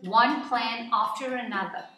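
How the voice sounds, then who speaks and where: A woman reading a children's picture book aloud as scripted narration, with measured, deliberate phrasing. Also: fairly high pitch, pushed and bright. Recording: roomy echo, distant mic, background music. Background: music.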